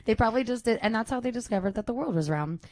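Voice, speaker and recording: A woman's voice: a little high, animated, rapid-fire, darkish tone. A young woman in casual podcast chat, joking and laughing easily as she explains beer brewing.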